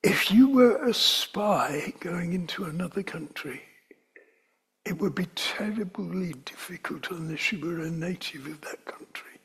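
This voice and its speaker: A man's voice: high voice, lively intonation, measured pace, a bit bright. An older man with a London accent, preaching a sermon in a conversational, digressive way that rises to emphatic stresses. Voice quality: very breathy, often whispery.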